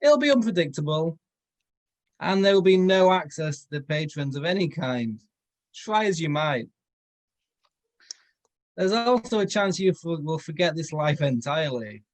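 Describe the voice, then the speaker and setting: A man's voice: fairly high pitch, lively intonation, normal speed, long pauses. A man reading a comic story aloud in a theatrical manner, now and then correcting his own slips. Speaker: comedy performer reading fan fiction. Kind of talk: dramatic comedic story read-aloud.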